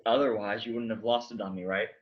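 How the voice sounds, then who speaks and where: A man's voice: fairly low pitch, fairly even intonation, fast talker, highs cut off, lightly acted. An actor playing a weary, brooding, sardonic man in a staged play reading. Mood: tender, tired.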